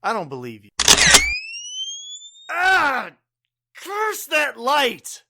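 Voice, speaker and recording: Slow, melodramatic villainous father played by a man. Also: extremely high pitch, wildly swooping pitch, very noisy, exaggerated voice. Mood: menacing, angry. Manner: halting, melodramatic. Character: wounded, bitter father, secretly the villainous Robot King. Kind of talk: pulp radio drama acting.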